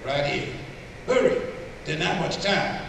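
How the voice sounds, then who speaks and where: An actor playing a wary, urgent thief in a live cartoon table read. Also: male voice, on the high side, a little slow, gravelly. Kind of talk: live script table read of an animated series. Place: large convention hall, live on stage. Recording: very echoey hall, distant, noisy.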